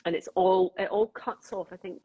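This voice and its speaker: A middle-aged Scottish woman with a Glaswegian accent, talking quickly and telling stories in a casual interview over a video call. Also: medium pitch, fairly even intonation, dark tone, slightly rough, poor audio. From Scotland. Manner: chatty storyteller, quick, laughs often. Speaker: crime novelist guest.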